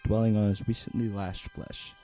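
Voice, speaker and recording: A man giving measured, scripted scene-setting narration for a radio drama. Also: fairly low pitch, little pitch movement, mellow. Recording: faint music underneath.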